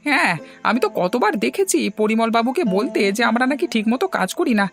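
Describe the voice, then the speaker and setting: Woman playing a hard-worked labourer man, quick pace, gravelly creak, indignant, cartoon dialogue, faint music. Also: on the high side, sing-song. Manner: complaining, indignant.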